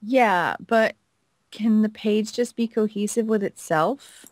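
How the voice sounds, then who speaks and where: A woman's voice: mid-range voice, takes it slowly, no echo, studio quality. A woman co-playing a game, reading dialogue aloud in a casual way and chatting between lines. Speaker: co-player reading a character's lines.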